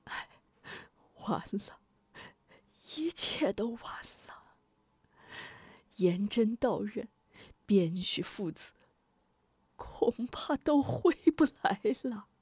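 A woman voicing a scheming male official in a storybook tale, speaking in a breathy, half-whispered menace. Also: fairly low pitch, big pitch swings, normal speed, no room sound. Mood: despairing.

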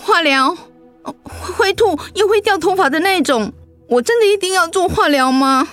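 A woman's voice: very high voice, lively intonation, normal speed, a bit bright, faint music behind. An actress playing a frightened, anxious middle-aged mother who is ill, in a radio drama. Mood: distressed, pleading. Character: frightened, anxious mother facing cancer treatment.

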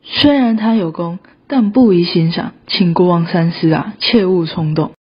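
A woman's voice: fairly low pitch, moderate pace, husky. A young woman with a Taiwanese Mandarin accent playing a deferential court minister in a student radio drama, speaking formally. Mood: cautioning. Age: young adult. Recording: dull lo-fi audio under music.

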